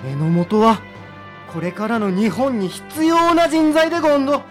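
An actor playing a stern but earnest middle-aged samurai official with a Satsuma dialect, speaking formally and pleading with conviction. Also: male voice, very high-pitched, measured pace, soft background music. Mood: impassioned pleading. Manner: formal, archaic, heartfelt pleading. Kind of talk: studio audio drama acting.

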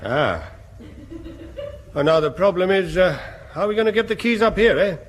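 A blithe English army captain in a radio comedy. His fairly high voice rises and falls freely as he hums and dithers, with faint noise on the recording. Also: expressive, normal speed. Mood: pondering. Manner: dithering, musing with hums and ahs. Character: hesitant, blithe male army bomb disposal captain. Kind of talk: British radio sitcom acting.

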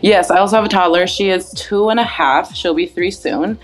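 A young woman with a notably low voice tells a personal story as a podcast guest. She narrates in an animated way, leaning into the telling. Music plays faintly behind her. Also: a little fast, poor audio. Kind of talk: personal anecdote.

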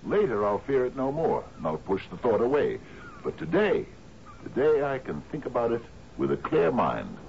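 A man's voice: medium pitch, huge pitch swings, moderate pace, creaky, a little mellow. An actor in a radio drama playing an expansive, dreamy sea captain in his fifties, musing theatrically with questioning little grunts. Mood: excited, resolute. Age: 50s. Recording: dull, noisy 1970s radio recording.

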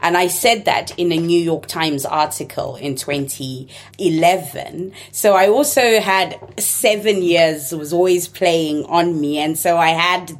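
A middle-aged woman from Zimbabwe, a native Shona speaker, giving thoughtful, measured interview answers with small self-corrections. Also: fairly low pitch. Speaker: guest, social entrepreneur.

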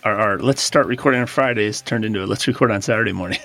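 A man's voice: lowish voice, fast talker, creaky, a bit bright, soft background music. A man co-hosting an accounting podcast in casual chat, laughing often and a little stuffed up from allergies. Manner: chatty, laughs often, reads ads and quotes aloud. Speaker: podcast co-host. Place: recording studio.

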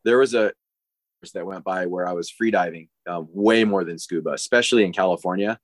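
Low-voiced man in his forties, halting. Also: no room sound, studio quality. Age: 40s. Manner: rambling, halting, many fillers and restarts. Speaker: podcast guest, diver living on a boat. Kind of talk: casual interview chat.

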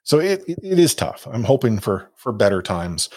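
Rambling podcast host, low voice. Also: male voice, big pitch swings, moderate pace, darkish tone, no room sound, studio quality. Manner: rambling, self-deprecating, many fillers and restarts. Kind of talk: casual podcast chat.

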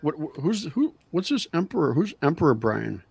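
A man bantering casually in a relaxed conversation on a sports podcast. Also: a little high, normal speed, vocal fry, breathy edge, no room sound.

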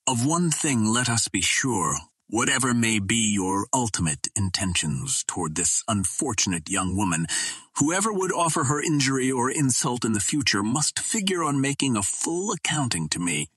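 A man voicing a gallant, proud Earthman captain in an adventure storybook, formal, courtly and firm, with a husky voice. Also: medium pitch, big pitch swings, normal speed, a bit bright, dry recording. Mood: defiant.

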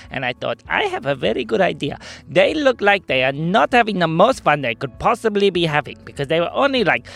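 A man's voice: fairly high pitch, gravelly, heavily acted. A man playing a small, mischievous magical creature who tells children's stories in an eager, childlike, singsong babble. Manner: excitable, singsong, playfully babbling. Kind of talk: storytelling for children, in character.

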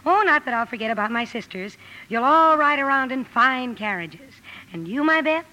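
Fiery high-voiced tomboy sister. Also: female voice, animated, moderate pace, sharp tone, poor audio. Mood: dramatic, determined. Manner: blunt, theatrical exclamations. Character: fiery tomboyish teenage sister, ambitious would-be writer. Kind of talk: 1950s radio drama acting. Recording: vintage 1950 radio broadcast.

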